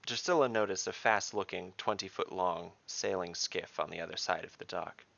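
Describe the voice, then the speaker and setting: A man hosting a podcast, reading scripted fantasy narration in a measured, dramatic storytelling manner. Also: sits low, vocal fry, fairly bright tone, muffled recording.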